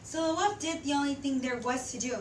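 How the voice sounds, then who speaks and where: High-voiced teenage girl narrating, echoey room. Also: brisk. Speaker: student narrator. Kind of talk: theatrical storytelling on stage. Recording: echoey room, distant mic, faint noise.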